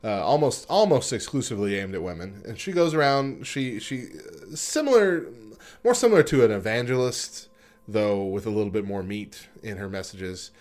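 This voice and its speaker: A man's voice: lowish voice, expressive, moderate pace, a little mellow. A man co-hosting a radio show, reading light news and chatting casually, with frequent fillers and restarts.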